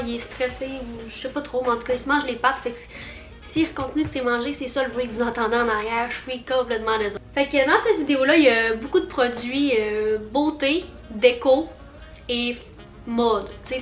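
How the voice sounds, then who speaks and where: Young woman, Quebec French accent, high voice, chatty and bubbly, echoey room, faint music underneath. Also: a bit bright. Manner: chatty, bubbly, rambling with fillers. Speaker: vlogger. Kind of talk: casual haul vlog.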